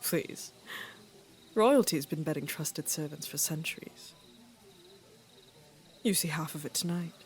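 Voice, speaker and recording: A woman playing an aging, regal queen and mother in a fantasy audio drama, speaking in a measured, deliberate and wry way. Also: deep voice, vocal fry, slight background noise. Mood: wry, teasing. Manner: measured, deliberate, dryly teasing.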